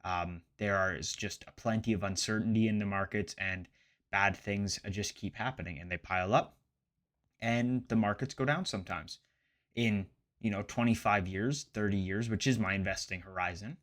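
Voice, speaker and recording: A man in his late twenties with a fairly low voice chats casually to his viewers as a finance vlogger. His delivery is loose and rambling, and he often stops to rephrase himself. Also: moderate pace, fairly bright tone. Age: late 20s. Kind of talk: casual chat to camera about investing.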